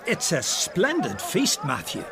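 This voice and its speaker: A man's voice: sits high, huge pitch swings, unhurried, crowd noise, exaggerated voice. An actor playing a snobbish, mocking man at a feast in a radio drama, chuckling as he talks. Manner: sneering, amused, chuckling. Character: mocking older man, snobbish party guest.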